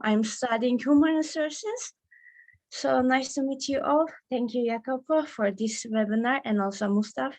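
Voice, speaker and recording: A young woman in her twenties introduces herself on a video call. She is friendly but a bit hurried and hesitant. Her voice is noticeably high. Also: darkish tone. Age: 20s. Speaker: student volunteer.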